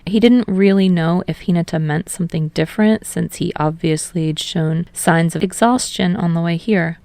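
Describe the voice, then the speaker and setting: Fiction narrator: woman, lowish pitch, gravelly fry, scripted storytelling, dry close sound. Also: normal speed, darkish tone, no room sound. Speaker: podfic narrator.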